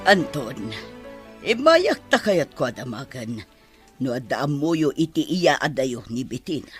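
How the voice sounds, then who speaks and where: An actress playing a lovelorn, spurned madam in a radio drama, with melodramatic, emotional, drawn-out delivery. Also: female voice, very deep voice, sing-song, soft background music, full character voice. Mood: pleading.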